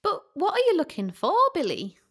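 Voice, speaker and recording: A woman's voice: high voice, wildly swooping pitch, normal speed, full character voice. A woman playing a curious young woman on a ghost hunt in a radio play, inquisitive and easily spooked. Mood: bewildered. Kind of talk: community radio play acting.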